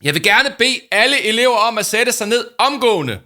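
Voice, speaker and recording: A man voicing a big, self-important adult man who is always hungry and shouts his announcements with great solemnity. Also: animated. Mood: booming. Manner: booming, dramatically solemn shouting.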